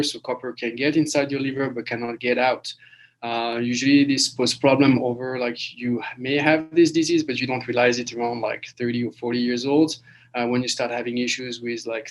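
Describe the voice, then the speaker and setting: A man's voice: medium pitch, rough recording. A man from France, giving a webinar lecture over a video call, with many fillers and restarts. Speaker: guest lecturer.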